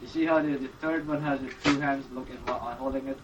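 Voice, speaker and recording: Calm guy walking you through stuff step by step, mid-pitch, far-off mic, noisy. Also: quick pace, dull audio. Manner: patient, explaining step by step. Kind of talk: casual chat. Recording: distant mic with clear background noise.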